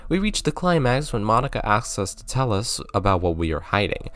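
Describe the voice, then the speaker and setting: A man's voice: lowish voice, sing-song, fairly quick, fairly bright tone. A man narrating a scripted video game review, with sarcastic, joking asides.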